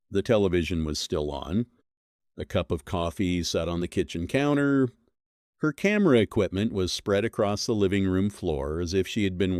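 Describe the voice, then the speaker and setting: A man reading a scripted horror mystery as narrator, in measured, suspenseful phrases that are short and dramatic. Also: low-pitched, a little mellow, no room sound, clean studio sound.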